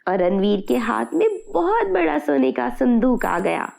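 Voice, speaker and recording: A woman's voice: fairly high pitch, sing-song, measured pace, vocal fry, darkish tone. A woman narrating a scripted fairy tale for children. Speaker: storyteller.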